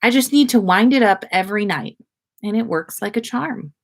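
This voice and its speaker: A woman's voice: medium pitch, animated, moderate pace, gravelly, pitched above the performer's own voice. A woman voicing a friendly, curious schoolgirl who speaks eagerly in a story read aloud. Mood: playful.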